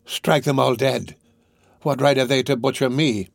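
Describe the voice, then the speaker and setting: A man voicing a terrified, deranged old prisoner in a dramatic audiobook, muttering feverishly in broken, drawn-out phrases and cries. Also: mid-range voice, fairly even intonation, gravelly, no echo. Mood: bitter rage. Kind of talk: dramatic audiobook character reading.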